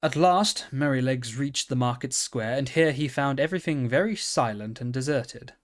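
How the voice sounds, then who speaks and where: A man reading a children's storybook aloud in a calm, steady manner. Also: mid-range voice, moderate pace. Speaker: reader.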